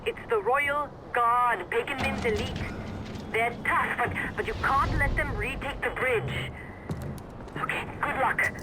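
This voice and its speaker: An actress playing a young rebel commander giving urgent, clipped orders over the radio in a video game. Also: female voice, fairly high pitch, unhurried, heavy background noise, close to an everyday voice. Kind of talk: video game cutscene acting.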